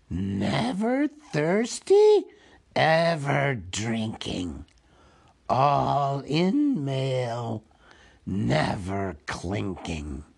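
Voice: mid-range voice, gravelly, husky, exaggerated voice. A reader voicing a wheedling, hungry cave creature in a storybook, hissing and whispery, drawing out words and muttering to itself. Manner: drawn-out, repeats words, talks to itself.

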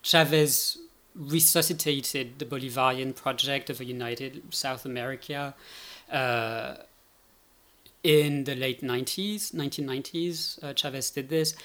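A man's voice: medium pitch, fairly even intonation, measured pace, bright, forceful tone. Man explaining as an academic guest. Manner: hesitant, thinking aloud, checking with "right?". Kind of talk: conversational explanation in a podcast interview.

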